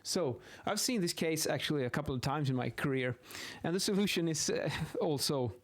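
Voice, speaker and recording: A man in his thirties speaks English with a Swedish accent in a fairly high voice. He explains things informally and haltingly, often restarting words. He is close to a studio microphone in a home office. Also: lively intonation. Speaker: video host, acoustics instructor. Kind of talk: informal explainer while sketching. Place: small indoor home office. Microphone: close boom-arm studio microphone.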